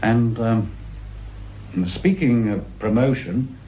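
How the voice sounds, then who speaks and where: An actor playing an evasive senior police boss in a radio drama, curt and authoritative. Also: male voice, medium pitch, takes it slowly, close to an everyday voice. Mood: resigned. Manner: curt, authoritative, smooth-talking. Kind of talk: broadcast radio drama acting. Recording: dull sound with faint noise.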